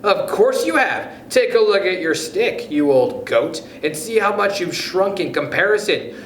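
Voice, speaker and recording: A man voicing a gleefully cruel, scheming husband from a children's storybook, taunting with drawn-out emphasis. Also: high-pitched, very animated, fairly bright tone, roomy sound, a little hiss or hum, voice pushed up, full character voice.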